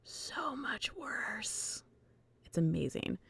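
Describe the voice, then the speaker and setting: A woman's voice: fairly high pitch, big pitch swings, very slow, vocal fry, a bit bright, slightly rough, whispered. A woman hosting a casual livestream chat with friends, speaking in an effusive, gushing way. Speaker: host of a live group reading stream.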